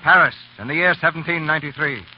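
Male 1930s radio narrator, formal and grand scripted delivery, dull old broadcast sound. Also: a little high. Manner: grand, deliberate, dramatic. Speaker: host and narrator of radio theatre. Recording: muffled 1930s radio recording, music behind.

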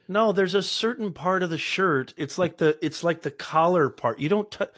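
A man co-hosting a casual live stream, slightly hoarse and raspy from a cold, chatty and joking. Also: high-pitched, moderate pace, fairly bright tone, no room sound, clean studio sound. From Southern California. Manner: joking, chatty, laughs often. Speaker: co-host.

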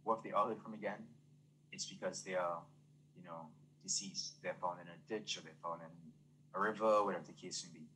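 Deep-voiced Trinidadian man, earnest. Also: fairly even intonation, fairly quick, bright, forceful tone, slight background noise, rough recording. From Trinidad and Tobago. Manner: earnest, fluent, uses many fillers. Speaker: guest. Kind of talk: casual interview talk.

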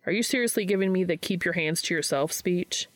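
A woman's voice: fairly low pitch, fairly quick, dry recording, lightly acted. A woman voicing a bold, smitten young man with a casual, blunt manner. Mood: cheeky, bold. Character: cocky, bold young man smitten with his study partner.

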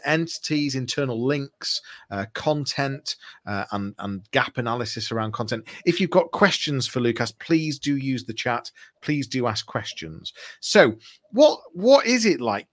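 A man speaks as the host of a marketing podcast. His voice sits at a medium pitch as he presents the show and puts questions to his guest. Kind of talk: scripted intro and live interview hosting.

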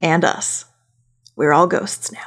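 A woman's voice: on the low side, takes it slowly, a bit bright. A woman hosting a podcast as a voice director, narrating conversationally with wry asides. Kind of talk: casual semi-scripted podcast narration.

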